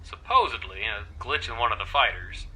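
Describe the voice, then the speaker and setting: A man playing a chatty, griping crewman reporting in a sci-fi audio drama, casual and excitable, over some noise. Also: fairly low pitch, a little fast, breathy edge, full character voice, rough recording. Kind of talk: science fiction audio drama.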